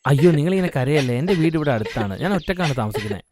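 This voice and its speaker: A man's voice: on the high side, animated, fast, crowd noise, lightly acted. A man playing a kind young street food seller in a cartoon moral story, speaking in an earnest, reassuring way.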